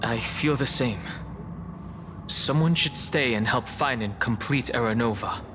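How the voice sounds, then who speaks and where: An actor playing a cautious, level-headed young adventurer in a video game, serious and restrained in delivery. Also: male voice, medium pitch, measured pace, music in the background, natural voice. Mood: pensive, decisive. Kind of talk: scripted video game voice acting.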